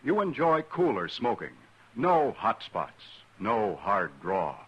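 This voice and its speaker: A man delivers a persuasive vintage radio sales pitch. His voice sits a little low with a husky grain. He swings his pitch widely to push each point. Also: wildly swooping pitch, takes it slowly, lo-fi sound. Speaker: announcer. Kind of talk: scripted radio commercial.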